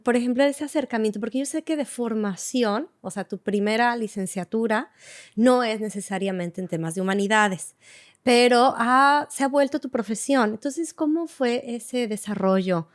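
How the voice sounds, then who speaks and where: A woman's voice: on the high side, expressive, moderate pace, dry recording, clean studio sound. A woman hosting a conversational interview, affirming her guest and using many fillers and back-channel sounds. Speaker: interview host.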